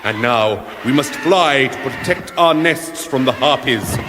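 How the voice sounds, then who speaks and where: An actor playing a stately, courteous winged creature, speaking formally and with measured grandeur in a fantasy radio comedy. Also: male voice, mid-range voice, lively intonation, noisy background, natural voice. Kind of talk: comic fantasy radio drama. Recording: long reverb under loud music.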